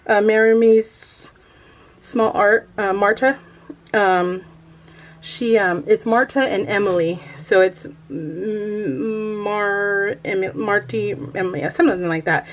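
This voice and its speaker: A woman's voice: medium pitch, steady tone, slow pace, a little hiss or hum. An American woman chatting casually to viewers as a craft vlogger, rambling and giggly, poking fun at herself and often trailing off mid-thought. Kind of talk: casual chat to viewers while showing a craft project.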